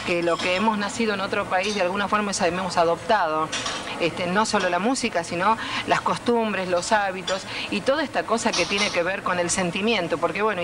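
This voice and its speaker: A middle-aged woman from Italy, thinking aloud as a guest with many fillers. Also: mid-range voice. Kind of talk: conversational interview talk. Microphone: handheld mic. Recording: noisy old video tape.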